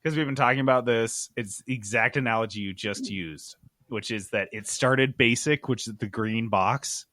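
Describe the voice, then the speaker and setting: A man's voice: medium pitch, normal speed, sharp tone, no echo, clean studio sound. A man hosting a podcast with casual, joking and teasing banter, steering the discussion.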